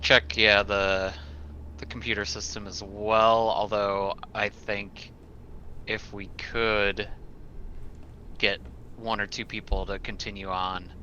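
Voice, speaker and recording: A man speaks as a player in a tabletop game, politely and a little tentatively. His voice is lowish and sharp-edged with very little pitch movement. The recording is a bit muffled. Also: level tone, takes it slowly. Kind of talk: casual game discussion. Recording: muffled, slightly noisy.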